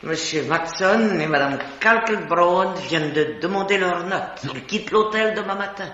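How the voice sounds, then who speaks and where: An actor playing an anxious hotel employee in a 1950s French radio mystery, speaking in a hesitant, confidential way. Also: male voice, sits high, moderate pace, sharp tone. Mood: worried, confiding. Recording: dull, noisy, echoey vintage radio recording.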